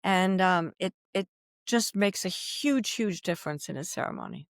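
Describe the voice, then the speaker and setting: Belgian-born woman guest, middle-aged, animated pitch, dry close sound, talks in quick restarts. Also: no echo. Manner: expressive, chatty, restarts a lot. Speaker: wedding officiant guest. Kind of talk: casual interview chat.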